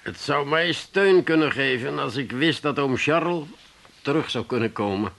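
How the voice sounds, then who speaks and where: Theatrical, self-pitying fellow, swooping pitch. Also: male voice, animated, normal speed, creaky, fairly bright tone. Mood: solemn. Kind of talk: comic radio drama acting.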